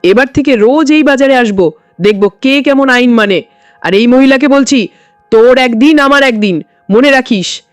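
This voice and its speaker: A woman's voice: sits high, normal speed, dry recording, studio quality, faint music behind, lightly acted. A woman voicing an arrogant, bullying male police inspector in an audio story, domineering and contemptuous. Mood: threatening.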